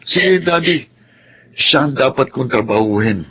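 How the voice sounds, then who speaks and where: A man's voice: medium pitch, animated, unhurried, sharp tone, a little hiss or hum. A Filipino man acting as a scheming, cold-hearted son in a TV drama. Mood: smug, conspiring. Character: scheming, cold-hearted son plotting against his family.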